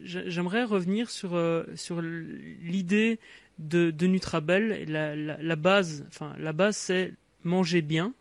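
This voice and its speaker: A man's voice: a little high, slow pace, gravelly, no echo. A man hosting an interview, hesitant and filling his questions with stock phrases. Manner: hesitant, fills with "voilà". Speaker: interview host.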